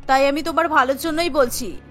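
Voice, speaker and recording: A high, sharp-edged voice of a controlling mother-in-law in a cartoon. She admonishes firmly and expects to be obeyed, with music underneath. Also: steady tone, fairly quick. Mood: advising. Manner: firm, admonishing. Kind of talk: Bengali cartoon voice acting.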